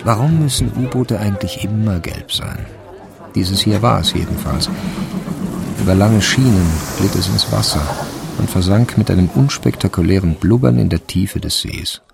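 A man narrating a radio drama from a literary script in a measured, wry manner. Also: fairly low pitch, creaky, over music.

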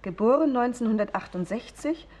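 An actress playing a brisk, efficient woman who heads a records office in a 1970s German radio drama. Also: fairly high pitch, lively intonation, a little mellow.

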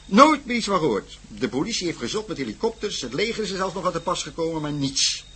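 An actor playing a talkative, slightly haughty local official at a jungle outpost in a radio play. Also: male voice, on the high side, sing-song, fast, pushed and bright, a little hiss or hum. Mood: ominous, informative. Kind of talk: Dutch radio play dialogue.

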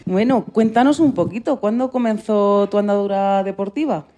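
A woman's voice: medium pitch, moderate pace, dark tone, soft background music. A woman co-hosting a radio show, giving a friendly, prepared interview.

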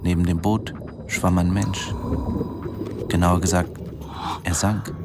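An actor playing a brooding male diving instructor in a German radio drama, narrating in a measured, introspective way. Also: low-pitched, fairly even intonation, background noise. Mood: brooding, tense.

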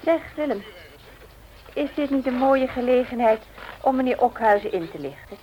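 An actress playing a caring, gently nagging wife and mother in a Dutch radio drama, coaxing and firm. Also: fairly high pitch, expressive, moderate pace, natural voice, poor audio. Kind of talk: Dutch radio play acting, domestic scene.

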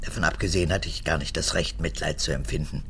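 An actress in a radio drama playing a cold, proud old mother and unrepentant former prison guard, haughty and emphatic, with halting phrases. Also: a bass voice, fairly even intonation, fairly bright tone, slight background noise. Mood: stern, hard. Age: older. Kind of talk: German radio drama acting.

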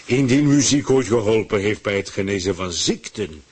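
An actor playing a pompous, power-hungry government ruler in a radio play, declaiming with a husky voice. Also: male voice, lowish voice, animated, normal speed, darkish tone. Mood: smug.

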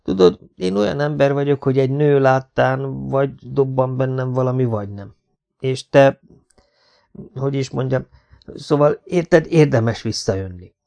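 Man doing a tired tough commando guy, gravelly, short clipped talk. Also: mid-range voice, expressive, warm tone. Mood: halting. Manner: terse, halting when moved.

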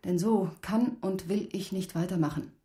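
An actress playing a fed-up, earnest wife in a radio drama, firm and deliberate in her reproach. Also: on the low side, mellow, close to an everyday voice. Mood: fed up, grave.

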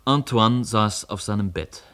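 A man narrating a literary radio play in a measured storytelling manner. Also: on the low side, fairly bright tone.

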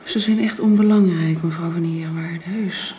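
Actress as hushed, mellow, devoted young woman. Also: fairly low pitch, fairly even intonation, normal speed, natural voice. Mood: hesitant, evasive. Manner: hesitant, hushed. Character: devoted, earnest young woman, gentle and self-effacing. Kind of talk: radio drama acting. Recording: dull radio drama recording, faint noise.

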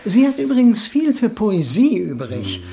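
Effusive high-voiced chatterbox husband, swooping intonation. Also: male voice, huge pitch swings, unhurried, creaky, warm tone, full character voice. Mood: insistent. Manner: effusive, emphatic, garrulous. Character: effusive, talkative Russian émigré husband, smugly besotted. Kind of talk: German radio drama acting.

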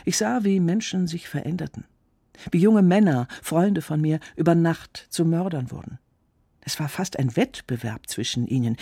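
Husky, gravelly troubled writer, close and dry. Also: male voice, a little high, warm tone, dry recording, heavily acted. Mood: somber. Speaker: actor reading a writer's reflections. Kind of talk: spoken essay monologue in a radio production.

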